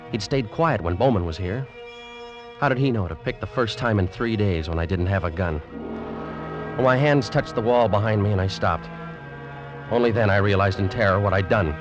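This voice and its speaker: An actor playing a guilt-ridden young seaman who narrates his terror in a grave, deliberate old-time radio style. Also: lowish voice, lo-fi sound, lightly acted. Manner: slow, deliberate, intense dramatic delivery. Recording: vintage radio drama with music behind. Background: dramatic music.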